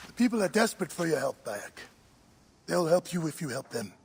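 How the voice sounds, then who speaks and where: An actor playing a caring, wry and worried village priest and friend in a video game cutscene. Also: male voice, on the high side, big pitch swings, fairly quick, vocal fry, breathy edge, heavily acted. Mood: encouraging.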